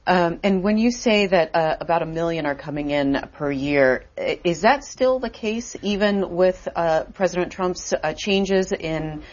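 A woman with a notably low voice hosts the interview, asking her questions haltingly with many fillers. The sound is dull and lo-fi. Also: darkish tone. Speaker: interview host. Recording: dull lo-fi audio.

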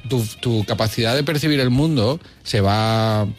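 A man's voice: mid-range voice, moderate pace, soft background music. Wry grumbling man in his fifties. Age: 50s. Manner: wry, grumbling, muses in long deadpan riffs. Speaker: podcast co-host. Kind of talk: comic chat with a co-host.

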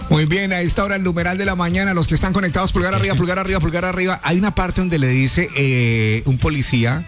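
A man's voice: high-pitched, quick pace, faint music behind. A man hosting a radio show, chatting and teasing in a loose, joking way. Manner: chatty, teasing, talks over others. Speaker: radio host.

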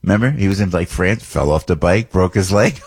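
An older man rambles through his radio talk show, restarting sentences and slipping into mock voices. His voice sits very low and carries a husky edge. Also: animated, fairly quick, a little mellow. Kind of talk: casual political talk radio monologue.

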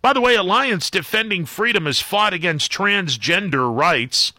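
A man's voice: high voice, animated, moderate pace, pushed and bright, dry recording. An American man hosting a radio call-in show, talking casually in a wry, hesitant, conversational way. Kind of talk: casual talk radio monologue and caller chat. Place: radio studio. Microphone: close studio microphone.

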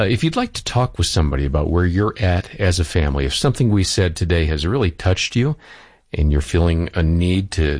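Deep husky male radio co-host. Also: a little mellow, dry recording, clean studio sound. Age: middle-aged or older. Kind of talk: smooth broadcast outro, semi-scripted.

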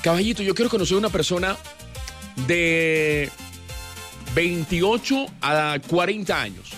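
Guy hosting late night radio, fairly high voice, peppy, a bit of music under him. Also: measured pace. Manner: animated, upbeat, breaks into short sung lines. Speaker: radio host. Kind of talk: lively radio presenting. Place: radio studio.

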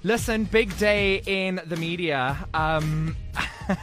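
A man hosting a radio show, chatting casually with a co-host, often laughing and pausing with ums. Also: high voice, music in the background.

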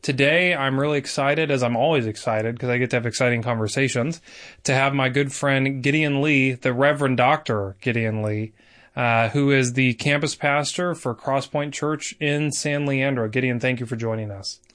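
A man's voice: medium pitch, fairly even intonation, moderate pace, a bit bright. A man hosting a podcast in a casual, chatty and informal interviewing style.

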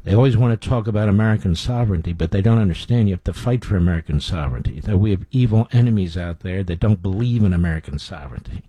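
Older guy on talk radio, deep husky voice, blunt and scornful, dry sound. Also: fast, hardly any pauses, warm tone, no echo. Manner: blunt and scornful, with many fillers. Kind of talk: opinionated radio commentary.

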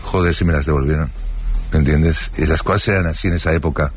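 Deep-voiced older Spanish man reminiscing. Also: fairly even intonation, quick pace, breathy edge. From Spain. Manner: rambling, anecdotal, full of fillers and restarts. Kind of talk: casual storytelling interview.

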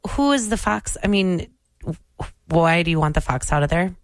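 A woman's voice: fairly low pitch, no room sound, studio quality. A woman co-hosting a morning radio show with playful, teasing banter. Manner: playful, teasing, quick to laugh. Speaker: radio co-host. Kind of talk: casual morning radio chat.

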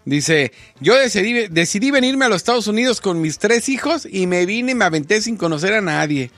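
A man's voice: high voice, lively intonation, fairly quick, fairly bright tone, dry recording, soft background music. A man with a Mexican accent co-hosting radio, reading listener messages and bantering casually.

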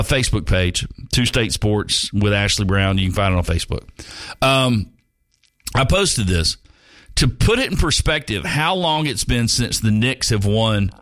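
A man's voice: mid-range voice, a bit bright, no room sound. A man in his fifties hosting sports talk radio, rambling and joking with frequent restarts. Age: 50s. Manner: rambling, jokey, many restarts. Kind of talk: casual sports talk monologue.

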